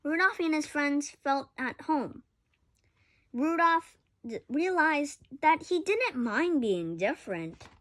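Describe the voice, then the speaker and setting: A woman's voice: high-pitched, big pitch swings, takes it slowly, a bit bright. A woman reading a children's picture book aloud expressively, with occasional stumbles and self-corrections. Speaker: story reader.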